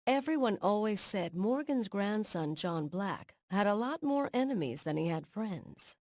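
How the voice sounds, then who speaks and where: An actress playing a wry storyteller who narrates family history in a video game. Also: female voice, mid-range voice, expressive, a little slow, a little mellow, no echo, studio quality. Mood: wistful, wry. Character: wry woman storyteller recounting her family's frontier history. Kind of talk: scripted narration.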